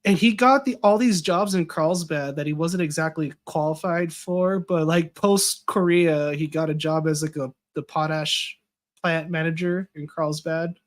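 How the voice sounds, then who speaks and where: A man's voice: on the high side, measured pace. An American man in casual conversation, rambling with fillers and restarts, saying he is losing his voice a little. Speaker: podcast guest.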